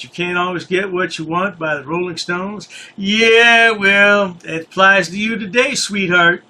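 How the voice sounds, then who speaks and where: High bright sarcastic male commentator. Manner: sarcastic, taunting. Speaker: commentator host. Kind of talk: mocking commentary.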